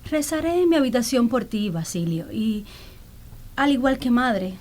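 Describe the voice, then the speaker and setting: High-voiced pious young lady. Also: big pitch swings, a little mellow. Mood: devout, resolute. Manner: formal, measured, devout. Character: pious, quietly determined young gentlewoman, a daughter. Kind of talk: radio drama dialogue.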